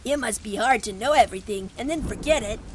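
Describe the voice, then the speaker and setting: A woman voicing an excitable, teasing female talking animal in a comedic audio drama. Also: on the high side, fast talker, husky. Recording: distant, noisy, rain in background.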